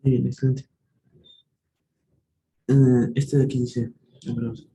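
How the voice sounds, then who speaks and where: A man's voice: medium pitch, flat delivery, dark tone. A man tutoring math live online, working through problems step by step, thinking aloud and correcting himself. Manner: thinks aloud, self-correcting, slow and patient. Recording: echoey room, faint noise.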